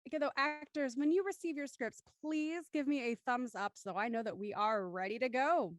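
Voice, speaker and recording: A woman's voice: high voice, normal speed, dry recording, clean studio sound. A woman hosting a live streamed script reading and narrating stage directions, with polite, formal phrasing. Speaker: host and stage-direction reader of live show.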